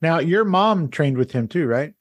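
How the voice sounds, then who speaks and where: A man's voice: on the high side, animated, normal speed, no room sound. A man hosting a podcast, asking curious, loosely phrased questions. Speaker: podcast host. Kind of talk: interview questions.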